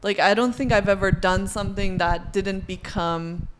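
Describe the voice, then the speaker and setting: A woman's voice: mid-range voice, moderate pace, a little hiss or hum. A young adult woman chatting casually as a podcast co-host, saying "like" often and laughing easily. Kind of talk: casual chat.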